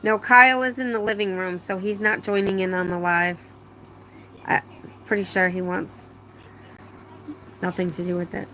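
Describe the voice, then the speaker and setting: A woman's voice: medium pitch, fairly even intonation, normal speed, long pauses, pushed and bright, a little hiss or hum, poor audio. Chatty middle-aged woman hosting a live stream. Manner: chatty, rambling, many fillers and restarts. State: voice slightly strained after laryngitis. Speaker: livestream host. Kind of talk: casual live chat, reading out viewer comments.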